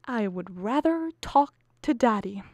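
High-pitched, melodramatic anxious wife and mother played by a woman. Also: expressive, measured pace, a little mellow, no echo. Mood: wounded, pleading. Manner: melodramatic, pleading, argumentative. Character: anxious, bickering middle-aged wife and exasperated mother. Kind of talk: stage comedy acting for radio.

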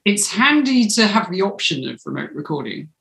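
A woman's voice: mid-range voice, very animated, normal speed, gravelly, a bit bright, echoey room, a little hiss or hum. A woman in her thirties talking casually as an interview guest on a video call from home. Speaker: interview guest, audio drama writer.

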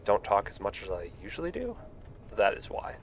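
A man's voice: lowish voice, brisk, slight background noise. Stuffy-voiced man streaming a game. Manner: relaxed, rambling, frequent fillers. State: stuffy from a cold. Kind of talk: casual live-stream game commentary.

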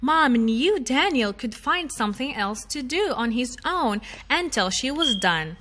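A woman reading a children's storybook aloud in an expressive style as the storytime reader. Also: sits high, measured pace, noisy background.

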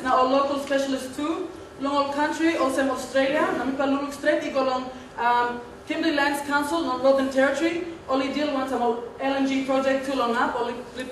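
This is a high-voiced woman with a Papua New Guinean accent. She answers formally as a government speaker in a parliament, partly improvising. Her voice rings around a very echoey room, with faint background noise. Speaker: government respondent in a practice parliament. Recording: very echoey hall, distant mic, faint noise.

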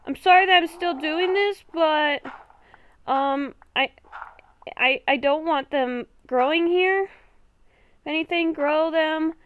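A woman playing a nervous young female werewolf in an improvised Minecraft roleplay, halting and stammering as she talks. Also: sits high, muffled recording. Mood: frightened, pleading. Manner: halting, stammering, repeats herself. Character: nervous young woman werewolf, hearing ghostly voices at night.

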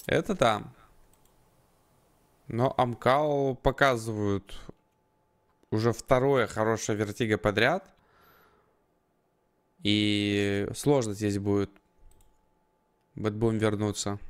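A man's voice: fairly low pitch, fairly even intonation, slow pace, long pauses, clear, resonant tone. A man co-commentating a live esports match, conversational and analytical, without a script. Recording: faint gunfire and clicks behind. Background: game gunfire, clicks.

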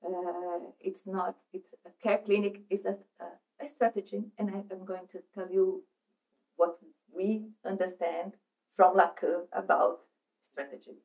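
Woman, middle-aged, Brazilian accent, unhurried and halting seminar talk, seated in a meeting room. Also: mid-range voice, little pitch movement, a little mellow, poor audio. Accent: Brazilian Portuguese. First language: Portuguese. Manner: halting, searching for words, many restarts. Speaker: psychoanalyst speaker. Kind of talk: presentation at a seminar.

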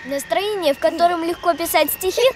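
A woman's voice: extremely high pitch, fairly quick, noisy background. A woman voicing a small, clever childlike creature in a children's cartoon, explaining things precisely. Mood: instructive.

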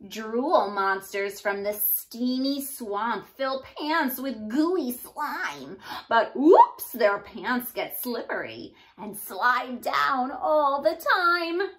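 A woman in her thirties giving an expressive storybook read-aloud for young children, like a teacher addressing her class. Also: fairly high pitch, very slow, few pauses. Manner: animated, addressing young children, sounding out rhymes. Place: small indoor room.

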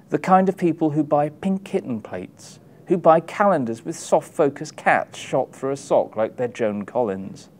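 A man in his thirties giving an expressive, wry reading from his book, with emphatic delivery and dramatic pauses. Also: medium pitch. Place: small indoor room, publisher's backdrop. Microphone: lapel mic.

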